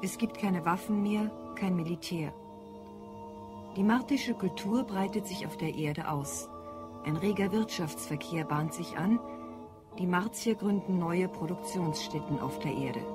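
Lowish-voiced female narrator. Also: measured pace, over music. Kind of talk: scripted present-tense report narration.

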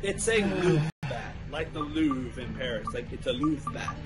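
A man's voice: on the high side, expressive, measured pace, over loud music. A man chatting casually over a video game in a playful, joking way. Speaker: co-commentator on a game playthrough. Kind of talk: casual gameplay chat.

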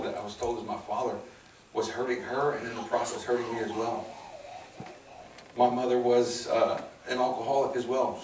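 A man in his forties from Chicago, with a Chicago accent, sharing his personal testimony to a church crowd in a plainspoken, earnest way. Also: mid-range voice. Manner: casual, plainspoken, earnest, folksy. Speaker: guest speaker sharing testimony. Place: large hall with stage, indoors. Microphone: handheld or lapel mic, filmed by a far camera. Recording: roomy hall, distant mic, noisy.

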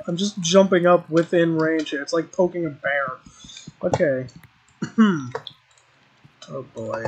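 A young man giving casual, rambling commentary while playing a game, with frequent ums and restarts. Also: fairly high pitch. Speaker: gaming video creator. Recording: noisy home recording with mouse clicks.

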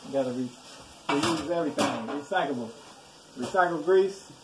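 Man cooking, folksy and laid-back, echoey kitchen, loud background noise. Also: on the high side, moderate pace, recorded from a distance. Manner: relaxed, folksy and plain-spoken. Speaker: home cook. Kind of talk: casual kitchen chat while cooking. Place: home kitchen.

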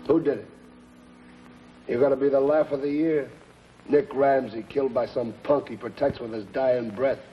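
An actor playing a hard-boiled, cynical police detective, clipped and sardonic, in a 1950s television crime drama. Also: male voice, mid-range voice, steady tone, moderate pace, pauses often, slight background noise, close to an everyday voice. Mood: needling. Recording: old muffled film soundtrack with music.

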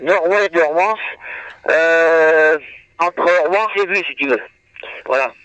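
A man's voice: a little high, slow, a bit bright, slight background noise. A man chatting casually as an amateur operator, heard over a two-way radio. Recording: dull, dry two-way radio transmission.

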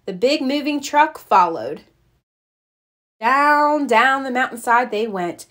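A woman reading a children's storybook aloud as narrator, expressive and deliberate. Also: high-pitched.

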